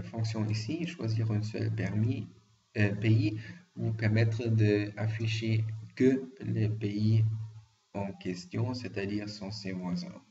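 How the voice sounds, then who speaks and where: A man with a German accent speaking French, walking through a software tutorial with frequent hesitant fillers. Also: fairly low pitch. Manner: hesitant, frequent "euh" fillers, careful explaining. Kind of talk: screen-recorded software walkthrough. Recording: muffled lo-fi voice-over with faint hiss.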